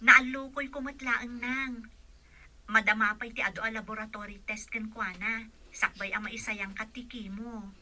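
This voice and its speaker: Young female caregiver, played by a woman: highish, bright, polite, gently concerned radio drama voice. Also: fairly even intonation, moderate pace. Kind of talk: Ilocano radio drama acting.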